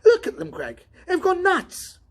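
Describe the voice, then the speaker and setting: A man voicing an excitable, griping male robot tester in a storybook reading, loud and indignant. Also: extremely high pitch, a little slow, a crowd in the background, pitched above the performer's own voice, heavily acted. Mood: alarmed.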